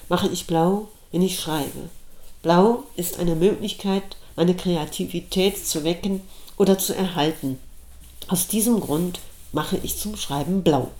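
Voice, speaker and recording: A middle-aged woman reads her own reflective essay aloud, taking her time over every phrase. Her voice sits slightly low and her delivery stays measured and thoughtful. The recording carries a noticeable roomy echo. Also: a little mellow. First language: German. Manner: slow, measured, thoughtful reading.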